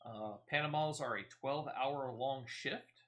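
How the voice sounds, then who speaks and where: A man's voice: mid-range voice, slow, fairly bright tone. A man giving casual, rambling, playful gameplay commentary as a video game streamer.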